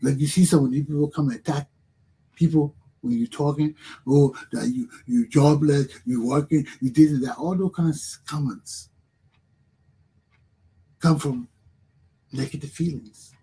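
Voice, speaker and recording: A man's voice: on the high side, fairly even intonation, a little slow, pauses often, warm tone, slightly rough, low-quality recording. A middle-aged man from Liberia with a Liberian accent, hosting a talk show and giving an emphatic, preachy motivational monologue that repeats its points.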